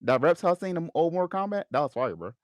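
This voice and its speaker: A man's voice: fairly high pitch, sing-song, fast talker, no room sound. A man on a podcast panel, chatting casually and informally in slang. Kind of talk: casual panel chat about games and films.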